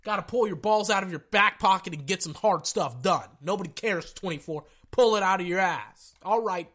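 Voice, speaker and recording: Brash high-voiced sports podcast guy, sore throat. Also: normal speed. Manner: brash and excitable, hypes with "ladies and gentlemen". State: sore throat, losing his voice. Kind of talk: casual sports podcast talk.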